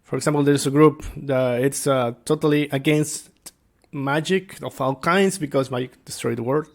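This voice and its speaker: A man speaking as a tabletop game master, explaining things casually and without a script, halting as he thinks aloud. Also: medium pitch, creaky. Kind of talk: casual unscripted explanation to viewers.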